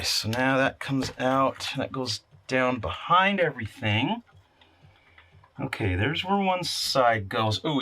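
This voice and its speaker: Man thinking aloud, lively and bright-voiced. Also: medium pitch. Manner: muttering to himself, asking and answering own questions. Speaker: electronics repair hobbyist hosting a video. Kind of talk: casual unscripted talk while working. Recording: clear background noise, clicking and clatter.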